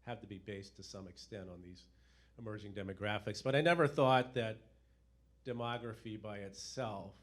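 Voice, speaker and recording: A man's voice: fairly low pitch, normal speed. A man speaking as a panel guest in a self-assured, conversational way. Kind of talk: panel discussion.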